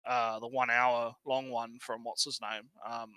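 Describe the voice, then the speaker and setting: A man's voice: mid-range voice, little pitch movement, unhurried, bright, forceful tone, clear, resonant tone, dry recording, poor audio. A man co-hosting a livestream with casual banter, rambling and often repeating his phrases. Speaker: livestream co-host.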